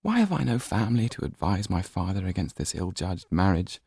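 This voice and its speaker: A man voicing an anxious, earnest young aristocratic girl with a soft, urgent manner in an audiobook. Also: low-pitched, big pitch swings, a little fast, creaky, slightly rough, no room sound, clean studio sound, heavily acted. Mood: distressed.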